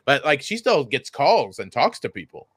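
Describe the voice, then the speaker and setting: A man's voice: medium pitch, big pitch swings, normal speed, a bit bright, no echo. A man chatting casually and comedically as a podcast co-host, rambling and often interrupting himself, with some swearing. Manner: rambling, self-interrupting, profane.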